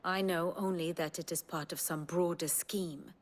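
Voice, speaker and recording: A woman playing a composed, wry scholarly strategist in a video game, speaking in a measured, eloquent, theatrical way. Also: lowish voice, fairly even intonation, natural voice. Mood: grave, lecturing. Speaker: strategist and lorekeeper. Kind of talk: dramatic video game acting, lecturing. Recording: music behind the voice.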